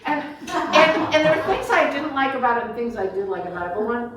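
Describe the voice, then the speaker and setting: A woman's voice: a little high, brisk, a bit bright. A woman author answering audience questions in a casual, digressive way, thinking aloud in broken, informal phrases. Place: reception room before a small audience. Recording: echoey room, picked up from far off.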